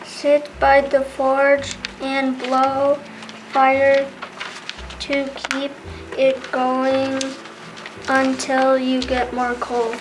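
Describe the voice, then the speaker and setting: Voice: sits high, completely flat delivery, drawn-out words, noisy background. An amateur performer with a youthful female voice playing an earnest storybook kingdom creature in reader's theater, reading carefully. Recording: music behind the voice. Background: clear background music.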